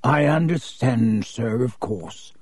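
A man voicing an oily, obsequious shopkeeper of dark goods, hushed and fawning. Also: medium pitch, lively intonation, takes it slowly, slightly rough, no echo, studio quality.

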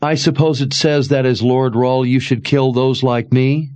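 A man's voice: mid-range voice, steady tone, a little slow, a little mellow, voice pushed up, lightly acted. A man voicing a frightened, accusing young woman in a fantasy audiobook, raised and strained. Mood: urgent. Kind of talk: audiobook character reading.

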